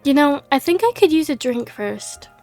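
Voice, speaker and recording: A woman's voice: high voice, expressive, normal speed, a little mellow, faint music behind. A woman reading the lines of a female monster hunter adventurer in her own plain voice, slightly losing her voice. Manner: reads dialogue aloud in her own plain voice.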